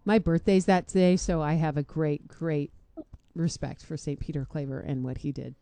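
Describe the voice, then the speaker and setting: A woman's voice: deep voice, fairly even intonation, normal speed, warm tone, no room sound. A woman hosting a radio show in a casual, conversational style.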